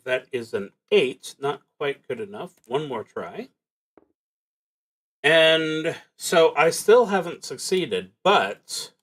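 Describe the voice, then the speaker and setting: Male solo RPG streamer, unhurried and halting, mid-range voice, casual think-aloud narration with muttered asides. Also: medium pitch, a bit bright, poor audio. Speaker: solo roleplaying game streamer. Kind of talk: casual game play narration, thinking aloud and reading prompts.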